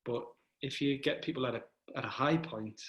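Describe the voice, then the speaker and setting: A man's voice: medium pitch, fairly even intonation, moderate pace, a bit bright. A man hosting a casual conversation, speaking thoughtfully, restarting phrases and checking in with "right?". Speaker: host, event organizer.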